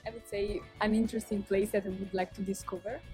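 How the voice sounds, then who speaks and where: A woman's voice: mid-range voice, animated, a little fast, dark tone. Thoughtful young Italian woman. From Italy. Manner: thoughtful, searching for words. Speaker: interviewee. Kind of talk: casual street interview. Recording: music over the voice.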